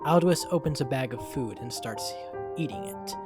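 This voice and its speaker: A man's voice: medium pitch, lively intonation, normal speed. A man reading scripted story narration as the narrator. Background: background music.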